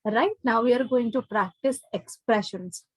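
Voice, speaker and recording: Woman, Indian accent, husky higher voice, online speaking teacher, instructive, frequent check-in questions, dry sound. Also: moderate pace, dry recording. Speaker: public speaking and spoken English teacher. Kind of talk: interactive online lesson with a child. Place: online live class.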